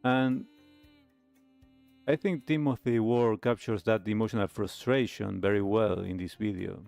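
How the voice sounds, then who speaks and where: Guy hosting a talk show, kinda low voice, thoughtful commentary, faint music behind. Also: steady tone, a little slow, gravelly, a little mellow. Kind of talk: reflective commentary on lifestyle videos.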